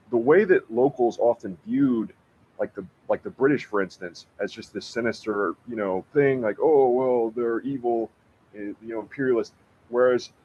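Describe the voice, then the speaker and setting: A man chatting casually about history on a podcast, rambling and slangy, with a husky voice. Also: fairly low pitch, a little mellow, slight background noise. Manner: rambling, slangy, filler-heavy with frequent "like". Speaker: podcast co-host discussing books. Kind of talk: casual chat. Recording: dull, lo-fi audio.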